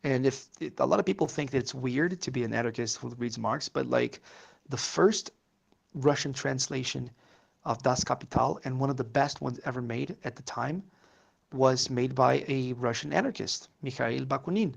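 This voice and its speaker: A man's voice: medium pitch, normal speed, gravelly, husky. A man whose first language is Portuguese, rambling through casual political chat with many fillers and curses.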